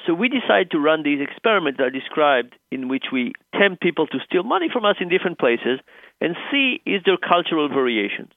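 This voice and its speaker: An Israeli-accented professor, a man, explains his research on a radio show, coming through a dry, tinny phone line. His voice is gravelly and he thinks aloud as he goes, clearing his throat between ideas. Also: a little high, sing-song, brisk, no echo. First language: Hebrew. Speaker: author and professor guest.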